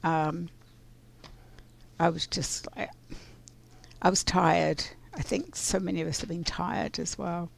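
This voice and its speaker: Woman host, scratchy and very gravelly, slow and reflective, for a casual card reading. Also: on the low side, fairly even intonation. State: scratchy voice from pollen. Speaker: spiritual card reader.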